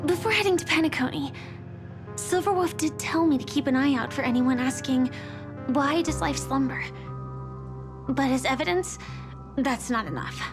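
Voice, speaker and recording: A wary young heroine from an anime game, voiced by a woman, serious and skeptical. Her voice sits quite high, with dry, measured questioning. Music plays clearly behind her. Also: natural voice. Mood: questioning, suspicious. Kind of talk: anime-style game voice acting. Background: game soundtrack music.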